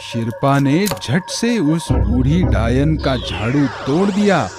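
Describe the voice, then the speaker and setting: A man's voice: mid-range voice, sing-song, takes it slowly, over loud music. A man narrating a scripted fairy tale for children.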